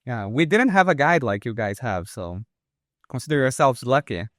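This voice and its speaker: A man's voice: mid-range voice, very animated, normal speed, no room sound, clean studio sound. A young adult man with a Brazilian accent, chatting casually about work as a co-host. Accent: Brazilian Portuguese. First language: Portuguese.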